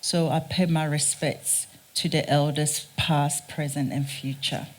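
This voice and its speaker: A woman from Ghana with a Ghanaian accent, giving a prepared public talk in an earnest, deliberate, emphatic manner. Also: deep voice, level tone. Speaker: guest speaker, race scholar. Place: speaking live at a theatre event.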